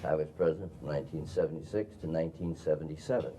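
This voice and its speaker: An older man from Wisconsin speaking as a panelist in a halting, deliberate way. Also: rumbling low, dark tone. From Wisconsin, USA. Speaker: panelist, former society president. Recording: noisy 1990s video recording.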